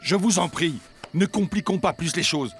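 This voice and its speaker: An actor playing an earnest, devout peacemaker in a dubbed melodrama, urging calm. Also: male voice, sits high, normal speed, soft background music. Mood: placating.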